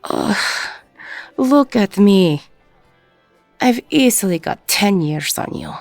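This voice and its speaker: A woman playing a weary, gruff, middle-aged ex-con bartender, husky and breathy, blunt and self-deprecating, in an intimate audio roleplay. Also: mid-range voice, animated, slow, darkish tone, no room sound, faint music behind. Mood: flustered, incredulous.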